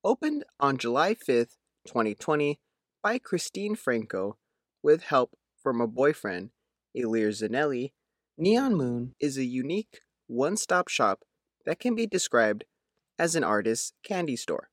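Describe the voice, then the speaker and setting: A man's voice: mid-range voice, sing-song, measured pace, dry recording, studio quality. A man reading a written local news feature in a scripted narration style.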